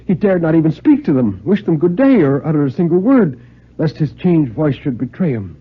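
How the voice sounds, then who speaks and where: A man giving a theatrical, deliberate dramatic story reading with precise diction, in 1950s radio style. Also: fairly high pitch, big pitch swings, breathy edge. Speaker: dramatic reader on a radio show. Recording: muffled vintage radio recording, music.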